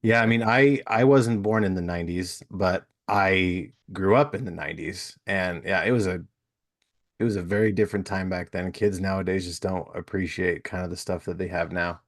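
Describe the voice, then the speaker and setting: A man's voice: low voice, normal speed, no echo, studio quality. A man in his thirties or forties casually chatting as a podcast host and interviewer.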